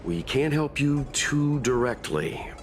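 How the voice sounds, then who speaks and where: A man voicing a calm, sensible, courteous man in a video game, measured and reassuring. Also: mid-range voice, a little hiss or hum. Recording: game music clearly behind the voice.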